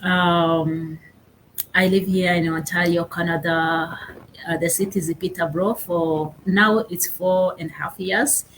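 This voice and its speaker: Rwandan woman speaking hesitant, halting English. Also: fairly low pitch, steady tone, a little hiss or hum, low-quality recording. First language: Kinyarwanda. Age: young adult. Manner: halting, searching for words with many fillers. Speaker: guest. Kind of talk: casual interview chat.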